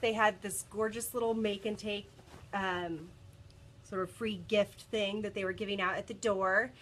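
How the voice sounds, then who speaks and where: A woman's voice: mid-range voice, a bit bright. A congested woman in her thirties, still getting over a cold, talks casually to her viewers on a desk camera. Her speech is chatty and full of ums and restarts. A faint hum of the room sits behind her. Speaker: bead shop video host. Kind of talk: casual live stream chat. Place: cluttered office workroom, indoors.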